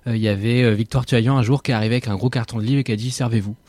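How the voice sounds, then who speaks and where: A man's voice: mid-range voice, fairly even intonation, rapid-fire, darkish tone, no echo. A man hosting a podcast, telling an anecdote in a casual, wry way. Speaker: podcast host.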